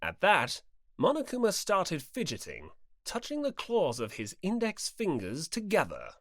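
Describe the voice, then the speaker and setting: Female audiobook narrator, highly animated intonation, dry close sound, scripted storytelling. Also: measured pace, dry recording.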